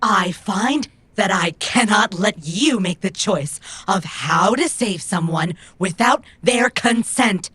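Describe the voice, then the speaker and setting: A woman acts out a proud female robot in a cyberpunk audio drama. The voice is husky and slow, and every phrase comes out formal and exact. The robot is stubborn and will not bend. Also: medium pitch, expressive, fairly bright tone, exaggerated voice. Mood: stern, reproachful.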